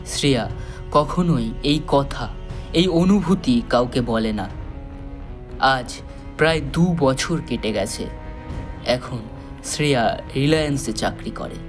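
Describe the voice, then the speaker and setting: A man narrating a scripted story solemnly and dramatically, with measured pauses. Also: medium pitch, lively intonation, over music.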